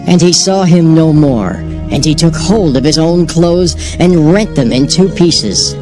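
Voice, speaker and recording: A man's voice: on the high side, a little slow. Solemn male scripture narrator over music. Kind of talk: dramatized scripture reading.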